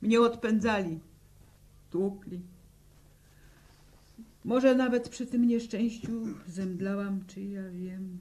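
An actress playing a grieving, stubborn village widow in a 1960s Polish radio drama, speaking plainly and haltingly in a sombre rural manner. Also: female voice, medium pitch, fairly even intonation, darkish tone, natural voice. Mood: anguished recollection. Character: grieving peasant war widow, stubborn, haunted.

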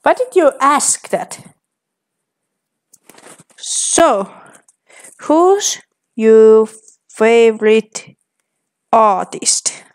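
A young woman reads her own typed chat messages aloud, one careful word at a time. Her voice sits a little high for a woman, and her delivery is very slow and deliberate. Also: lively intonation. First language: Finnish. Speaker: vlogger. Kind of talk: casual commentary reading chat aloud.

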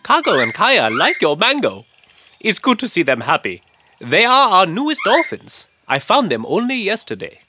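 A man's voice: high voice, huge pitch swings, moderate pace, noisy background. A man voicing an earnest, brave young boy who keeps rhinos in a children's cartoon. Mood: welcoming.

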